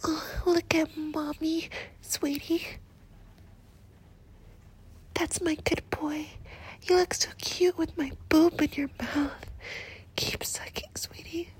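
A woman voicing a doting, flirtatious nurse in a whispered roleplay, with a soft, breathy, slow and coaxing delivery. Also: high-pitched, steady tone. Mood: whispery, doting. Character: doting, flirtatious female nurse, motherly and teasing.

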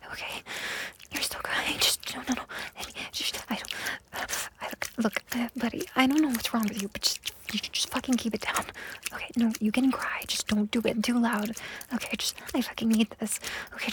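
Woman, high voice, breathy whisper throughout; repetitive, pleading audio-roleplay read. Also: poor audio.

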